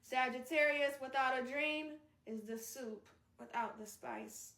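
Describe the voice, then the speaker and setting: A woman hosting a read-aloud, moving between narration and casual, expressive, chatty asides. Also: sits high, measured pace, creaky. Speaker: host reading a book aloud on a live stream. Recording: roomy echo, distant microphone.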